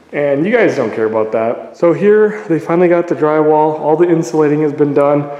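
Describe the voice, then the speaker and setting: A man's voice: fairly high pitch, warm tone. Chatty man in an echoey empty house. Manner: rambling, chatty, unsure asides. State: sore throat from paint fumes. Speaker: vlogger touring his house under construction. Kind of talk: casual walkthrough vlog. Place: empty house interior with bare walls. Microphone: phone camera held by the speaker.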